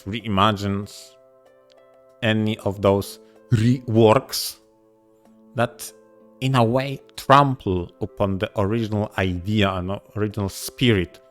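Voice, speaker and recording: A man co-hosting a podcast in casual discussion, speaking in a deliberate, halting way. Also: fairly low pitch. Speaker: podcast co-host. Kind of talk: casual discussion of visual novels.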